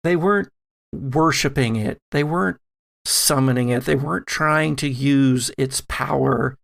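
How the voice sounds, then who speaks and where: A man voicing a slow, creaky, solemn grandmother. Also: medium pitch, fairly bright tone. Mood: solemn, foreboding. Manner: halting, weighty pauses. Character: solemn old grandmother, grave mystic pleading for sacrifice.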